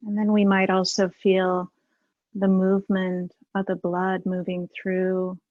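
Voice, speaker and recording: A woman leading a guided meditation as a teacher, unhurried and leaving long pauses. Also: mid-range voice, completely flat delivery, no room sound, narrow, tinny audio.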